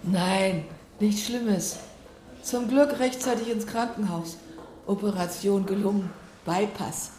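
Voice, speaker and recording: A woman's voice: medium pitch, takes it slowly, mellow, reverberant, slight background noise, natural voice. An actress playing a mother who keeps pressing her daughter with questions. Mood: probing. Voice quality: questioning, insistent. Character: persistent, probing mother. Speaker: mother recovering from heart surgery. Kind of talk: radio play acting.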